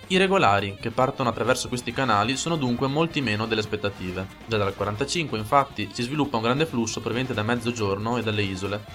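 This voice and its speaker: Fast-reading man over music. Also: medium pitch, fairly bright tone. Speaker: radio host. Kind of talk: scripted historical narration for radio. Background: music under the voice.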